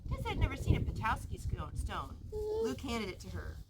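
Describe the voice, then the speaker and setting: Animated woman reading aloud, noisy recording. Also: on the high side, moderate pace. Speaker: storyteller reading a picture book aloud. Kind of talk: expressive read-aloud to a young child.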